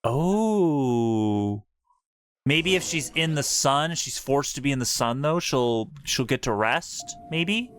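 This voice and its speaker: A woman's voice: a bass voice, animated, takes it slowly, a little hiss or hum. A woman giving casual, slangy game commentary, thinking aloud as she plays. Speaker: game streamer. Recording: game music behind the voice. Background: game music and dialogue.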